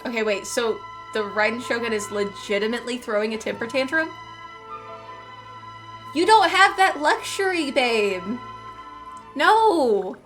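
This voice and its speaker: A woman's voice: on the high side, lively intonation, a little slow, pauses often, a bit bright. A woman streaming a game, giving casual, playful, chatty commentary as she reacts to the story, with game music behind her.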